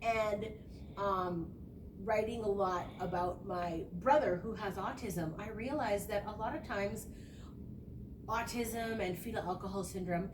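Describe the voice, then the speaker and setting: A woman's voice: medium pitch, measured pace, distant mic. Young woman in an echoey, noisy room. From small rural town. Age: young adult. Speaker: education student. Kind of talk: spoken reflective class presentation.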